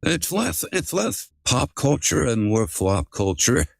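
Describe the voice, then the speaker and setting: A man's voice: mid-range voice, wildly swooping pitch, slow pace, vocal fry, no room sound, studio quality. A man hosting a casual livestream, chatting informally with some swearing and often repeating his phrases. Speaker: livestream host.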